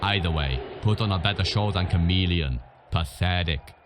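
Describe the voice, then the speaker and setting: An actor playing a gruff, hardened arena boss in a video game, bossy and blunt. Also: male voice, low-pitched, moderate pace, noisy background, natural voice. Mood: brisk, scornful. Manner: commanding, blunt. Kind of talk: video game character acting.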